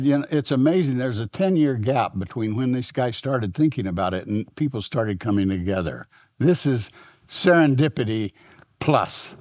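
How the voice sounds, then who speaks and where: An older man with a Texas drawl lectures about history, reminiscing and wandering through his story with frequent fillers and restarted phrases. His voice sits at an ordinary male pitch, and the recording is close and dry with almost no room sound. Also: darkish tone, dry recording. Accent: Texan. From Texas, United States. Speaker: symposium speaker.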